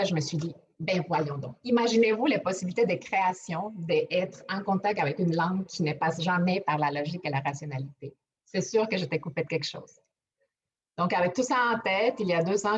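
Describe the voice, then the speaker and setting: Young woman writer, native Spanish speaker, lowish voice, reading a prepared testimony; faint background noise. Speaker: writer and actor. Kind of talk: prepared personal testimony at a literary event. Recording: dull, band-limited audio with faint noise and clicks.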